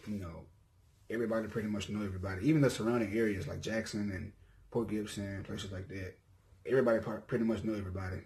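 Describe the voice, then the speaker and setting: Laid-back young Southern man, low voice. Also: darkish tone, slight background noise. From Mississippi. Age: 20s. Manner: laid-back, rambling, frequent ums and self-corrections. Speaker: YouTuber. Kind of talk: casual self-introduction vlog.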